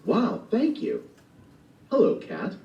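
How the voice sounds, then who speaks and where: A man's voice: high voice, huge pitch swings, slow, creaky, recorded from a distance, dull audio, pitched above the performer's own voice, heavily acted. A man voicing a cheerful, wide-eyed young man in a storybook play, recorded in a roomy space away from the mic. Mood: eager. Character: eager naive young man hero.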